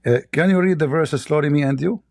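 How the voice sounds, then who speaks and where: Gravelly man, mocking debater. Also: fairly high pitch, quick pace, a little mellow. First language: Arabic. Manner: mocking, argumentative, uses rhetorical questions. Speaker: debate show host. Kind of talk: call-in religious debate.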